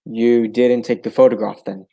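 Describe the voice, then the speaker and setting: An actor playing a loyal, earnest gentleman sidekick in old-style radio drama, speaking politely and inquisitively. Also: medium pitch, little pitch movement, normal speed, husky. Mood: puzzled. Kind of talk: 1940s radio drama acting.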